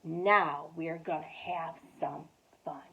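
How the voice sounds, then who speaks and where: A gentle, caring mother rabbit from a picture book, voiced by a woman reading aloud, patient and soft-spoken. Her voice comes through thin and lo-fi, like a phone call. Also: low-pitched, fairly even intonation, takes it slowly, a little hiss or hum, voice pushed down. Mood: tender. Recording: tinny phone-like sound.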